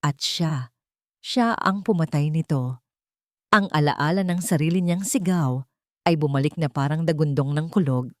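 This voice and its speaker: Female narrator, lowish voice, emotive audio-drama storytelling, close and dry recording. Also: a little slow, darkish tone, dry recording.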